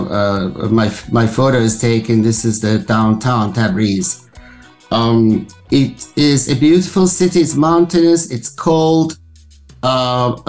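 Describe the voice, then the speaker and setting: A man in his sixties from Iran speaks as a podcast guest, slow and deliberate in his pace. He pauses often and fills gaps with "uh", heard over a video call with faint guitar music behind. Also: mid-range voice, poor audio. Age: 60s. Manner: slow and thoughtful, with fillers. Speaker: guest artist. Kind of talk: casual interview talk.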